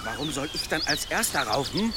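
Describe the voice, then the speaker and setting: A man's voice: on the high side, very animated, fairly quick, heavy background noise. An actor playing a reluctant, nervous medieval henchman who protests, in an audio drama.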